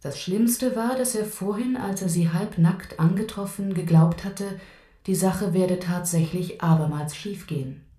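An actress playing a sombre audiobook reader on a cassette in a radio play, with measured literary delivery. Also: female voice, lowish voice, warm tone, natural voice. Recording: roomy cassette playback with faint music.

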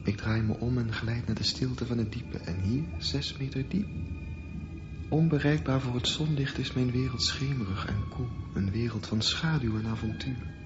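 A man's voice: mid-range voice, moderate pace, lightly acted. Over prominent music on a dull radio-play recording, a man plays a dreamy, defiant young swimmer. He muses poetically, as if thinking aloud, in an inner monologue. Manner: poetic, musing.

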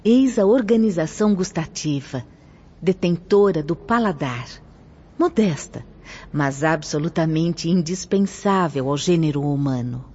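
Actress as a mellow, solemn spirit teacher. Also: female voice, low-pitched, lively intonation, breathy edge, full character voice. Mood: solemn, reverent. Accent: Brazilian. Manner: reverent, declamatory, measured. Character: Rosália, solemn gentle female spirit teacher instructing pupils. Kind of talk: Brazilian radio drama acting. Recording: dull lo-fi audio under music.

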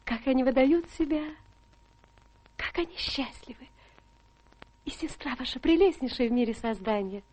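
An actress playing a coquettish young schemer in a radio drama, coaxing and flattering in a theatrical manner. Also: female voice, high voice, normal speed, long pauses, low-quality recording. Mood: wistful.

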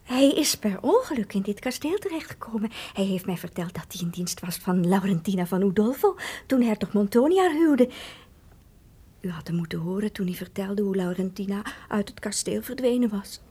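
An actress playing a devoted, lovestruck young maidservant in a theatrical radio play, trusting and eager, with an expressive storytelling lilt. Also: female voice, medium pitch, normal speed, breathy edge. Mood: wistful.

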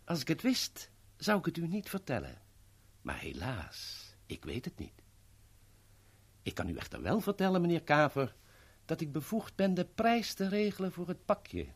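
An actor in a 1970s radio play voicing a smooth, sly henchman who negotiates with polite, teasing mock courtesy. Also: a little high, very animated, moderate pace, heavily acted. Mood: sly, courteous. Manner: polite, formal, teasing understatement.

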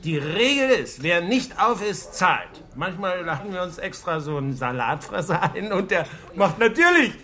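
Jovial, high-voiced provincial businessman. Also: very animated, moderate pace, hardly any pauses, sharp tone, full character voice. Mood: jolly, boastful. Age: middle-aged. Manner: chummy, chuckling, boastful. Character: jovial, naive fifty-ish provincial computer shop owner. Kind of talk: German crime radio play dialogue.

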